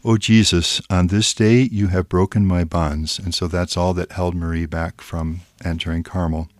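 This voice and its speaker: A man's voice: low voice, steady tone, no echo. A man, a priest, giving a reflective spiritual talk and carefully reading poetry aloud. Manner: careful, reflective, reads verse aloud slowly.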